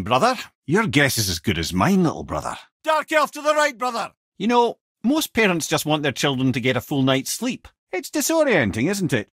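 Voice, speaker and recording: A garrulous old Scottish sage from a video game. His voice is husky and swings widely in pitch, close and dry. He talks with wry, eloquent wit, like a born storyteller. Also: fairly bright tone, no room sound. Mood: urgent, lively. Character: witty, garrulous, wise severed-head companion, older man.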